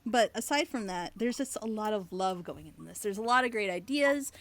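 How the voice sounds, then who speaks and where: A woman chatting casually on a reaction panel, giving feedback in an animated, rambling, gushing way. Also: mid-range voice, normal speed. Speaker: reviewer and script editor on a reaction panel.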